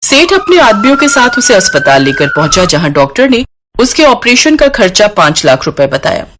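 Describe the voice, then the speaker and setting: A woman's voice: fairly high pitch, big pitch swings, normal speed, fairly bright tone. A woman narrating a scripted bedtime cartoon story.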